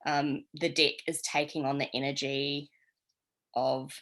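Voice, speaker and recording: A woman's voice: very deep voice, little pitch movement, unhurried, a bit bright. A young woman with a slight New Zealand accent teaching a casual tarot class, rambling and chatty with many fillers.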